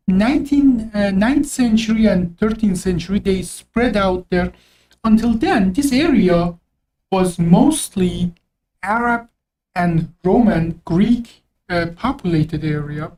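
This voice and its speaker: Azeri-accented man, dark voice, off-mic. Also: high voice. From Iran. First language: Azeri Turkish. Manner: rambling, many restarts and fillers. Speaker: host. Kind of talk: casual history talk. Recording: distant from the mic.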